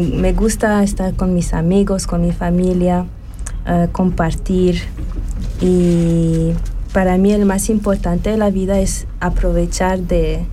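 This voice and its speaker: Slow, hesitant woman over event noise. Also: fairly low pitch, mellow. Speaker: guest. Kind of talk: casual interview talk.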